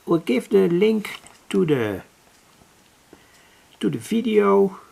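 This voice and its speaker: Hobby electronics host, gravelly low-key voice, slow and halting. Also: male voice, very animated, a little mellow. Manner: slow, deliberate, with many restarts and self-corrections. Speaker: electronics hobbyist explaining a circuit. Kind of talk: slow, halting explanation of a schematic. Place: home workbench.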